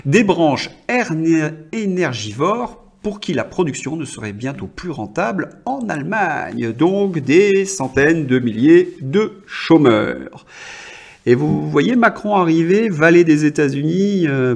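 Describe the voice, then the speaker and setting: A man's voice: a little high, expressive, takes it slowly, vocal fry, darkish tone. A man hosting a political commentary show, talking in an ironic, indignant tone with mocking asides.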